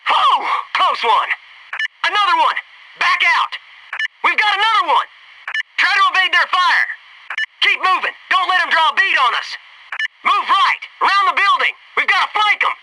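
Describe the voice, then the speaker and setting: A man's voice: extremely high pitch, lively intonation, normal speed, long pauses, far from the mic, noisy background. A man playing a cocky, wisecracking young American Navy SEAL in a military video game, with punchy, wry delivery. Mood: urgent shouting. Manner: punchy, wry, eager banter and callouts.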